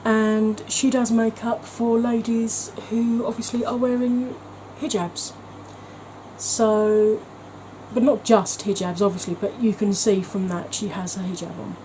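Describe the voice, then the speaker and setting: Mellow woman chatting warmly. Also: fairly high pitch, little pitch movement, unhurried, a little hiss or hum, rough recording. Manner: chatty and gushing, with frequent ums. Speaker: beauty vlogger. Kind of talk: casual vlog chat.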